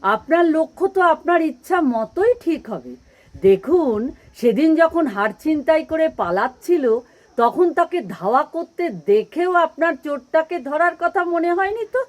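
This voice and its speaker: A woman's voice: high voice, animated, a little slow. An actress playing a witty, forward older single woman in an audio play, teasing and articulate.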